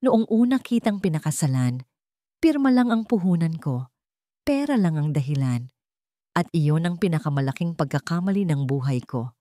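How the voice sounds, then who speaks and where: A woman's voice: low voice, big pitch swings, moderate pace, dark tone, no echo, studio quality. A woman voicing an earnest young rich man in a romance story, tender, heartfelt and emotional. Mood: remorseful.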